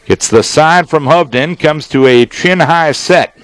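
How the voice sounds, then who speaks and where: A man with a slightly high-pitched voice delivers live baseball play-by-play in a dry, close sound. He works as a radio announcer, using clipped, measured phrases and deliberate pauses between them. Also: smooth, no echo. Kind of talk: live sports play-by-play commentary.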